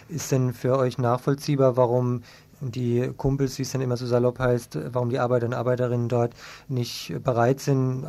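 A man's voice: medium pitch, darkish tone. A man working as a radio host, asking questions in an informal local interview.

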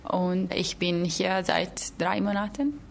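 Gravelly-voiced woman from Switzerland. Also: on the low side, fairly even intonation, takes it slowly, a little hiss or hum. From Italian-speaking Switzerland.

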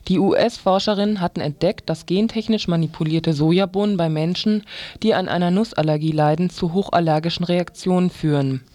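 Male newsreader, notably high voice, reading from a script in a dry studio sound. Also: steady tone, a little fast, darkish tone, dry recording. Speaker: news reader.

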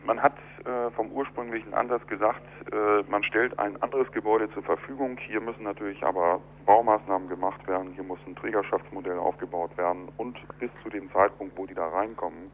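A man speaking as an architect in a telephone interview, explaining in a measured, deliberate, argumentative way. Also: fairly low pitch, steady tone. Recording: dull telephone line with clear hum.

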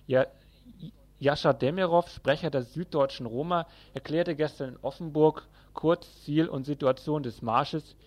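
A man's voice: a little high, measured pace, darkish tone. A man presenting a news report from a script, as a radio newsreader.

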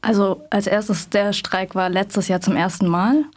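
Young woman activist as studio guest, mid-pitched, hesitant and thoughtful. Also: fairly even intonation, measured pace. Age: young adult. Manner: thoughtful, many ums and restarts. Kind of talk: studio interview. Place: radio studio.

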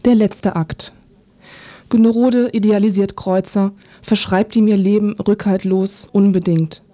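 A woman narrating a scripted literary radio feature in a sober, measured manner. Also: mid-range voice, steady tone, mellow.

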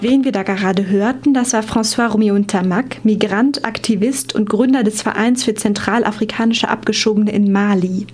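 A woman's voice: medium pitch, steady tone, moderate pace, hardly any pauses, darkish tone, soft background music. A woman reporter reading scripted documentary narration for a radio feature.

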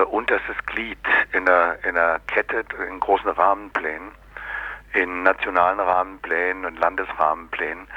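A man's voice: sits low, no room sound, highs cut off. A man explaining his views casually in an interview, sardonic and colloquial, with many little tag questions.

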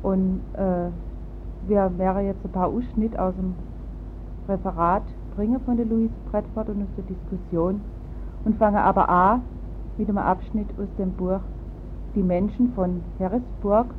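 Female radio host, Baden Alemannic accent, informal presenting; narrow, muffled recording with faint rumble. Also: steady tone, measured pace. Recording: muffled phone-like sound, rumbling noise.

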